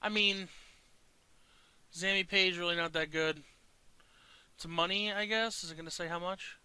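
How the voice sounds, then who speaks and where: A man with a fairly high, very bright voice narrates his gameplay casually. He sounds congested yet clear, and he rambles along in a slangy, loose way. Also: fairly even intonation, moderate pace, no room sound. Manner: rambling, slangy, occasional swearing. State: congested from dust. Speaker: gaming video creator.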